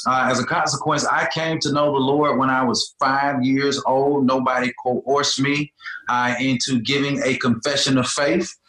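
Pastor with a bright, echoey voice. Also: male voice, mid-range voice, flat delivery, moderate pace. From Birmingham, Alabama. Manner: earnest, repetitive preacher's cadence. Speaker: pastor, guest. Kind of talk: storytelling interview answers. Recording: echoey room.

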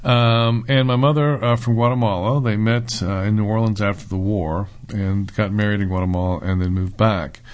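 A middle-aged man, a seminary professor, talks as a guest in a relaxed interview, pausing often to think. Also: on the low side. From United States. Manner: thoughtful, with frequent "uh" and "um" pauses.

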